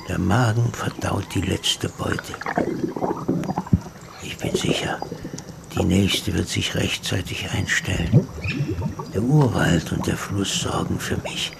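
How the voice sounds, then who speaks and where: An actor playing a patient, menacing swamp reptile that speaks as the primal brainstem, in a slow, hushed, half-whispered way, heard from an old tape in a radio drama. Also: male voice, low voice, vocal fry, exaggerated voice. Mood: menacing calm. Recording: hissy tape recording.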